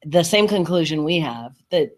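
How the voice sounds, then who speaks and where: A man's voice: fairly high pitch, expressive, moderate pace. A middle-aged man talks as a podcast co-host in an easy, conversational style. His delivery is blunt and unpolished, with casual swearing dropped in. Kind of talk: casual chat.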